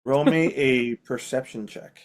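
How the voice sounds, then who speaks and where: Male game master, mid-pitched, unpolished, filler-heavy narration. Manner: loose, halting narration with many fillers.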